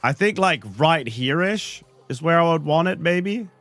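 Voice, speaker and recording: A man's voice: a little high, animated, a little slow, a bit bright, dry recording, soft background music. A man with a Danish accent, joking and rambling to his chat while he plays a game, a little under the weather. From Denmark. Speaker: streamer. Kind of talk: live-stream chat while playing a game.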